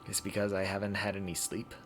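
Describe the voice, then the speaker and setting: A man live-streaming a visual novel playthrough, reading aloud haltingly and correcting his own stumbles. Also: sits low, completely flat delivery, soft background music.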